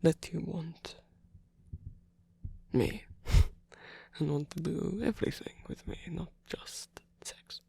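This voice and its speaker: A performer plays a tender, flirtatious man, a theatrical rock frontman in an ASMR romance. His voice is very breathy and often drops to a whisper. He talks slowly and hesitantly, bashful and easily flustered. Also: mid-range voice, dark tone, heavily acted. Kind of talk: intimate ASMR romance roleplay.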